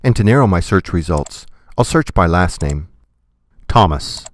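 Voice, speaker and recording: Low-voiced man narrating a tutorial. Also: expressive, unhurried. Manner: methodical, instructional. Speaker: software tutorial instructor. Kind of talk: scripted step-by-step tutorial narration.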